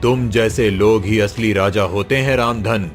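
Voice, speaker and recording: A man voicing a kind, grave old king in a cartoon moral story, solemn and measured. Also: fairly low pitch, steady tone, faint music behind, voice pushed down, close to an everyday voice. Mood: kindly, solemn.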